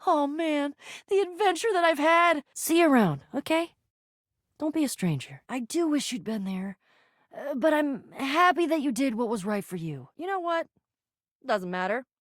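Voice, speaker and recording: A woman voicing an excitable, bragging adventurer in a video game, earnest and theatrical, often stammering. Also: high voice, moderate pace, dry recording, clean studio sound. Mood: upbeat, reminiscing. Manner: stammering, theatrical, swinging from gushing to sulky. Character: excitable, bragging adventurer, a living household object, earnest and dramatic. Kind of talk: video game character voice acting.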